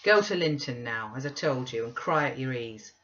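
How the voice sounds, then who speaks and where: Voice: medium pitch, animated, normal speed, a bit bright, lightly acted. A reader doing a cruel, menacing man. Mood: threatening. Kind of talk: audiobook reading. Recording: dull lo-fi recording.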